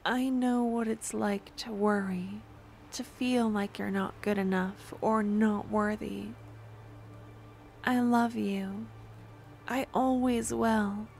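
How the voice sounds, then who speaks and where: A woman voicing an obsessive, lovesick young necromancer stalker in an intimate ASMR roleplay, with a breathy, adoring, possessive murmur that often slips into whispers. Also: a little high, darkish tone. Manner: adoring, possessive, halting dramatic delivery.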